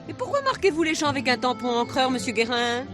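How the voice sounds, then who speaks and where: An actress playing a playful, coaxing wife eager to dance, chatty and insistent in naturalistic French dialogue. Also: female voice, sits high, very animated, fast. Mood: curious. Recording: loud dance music over the voice.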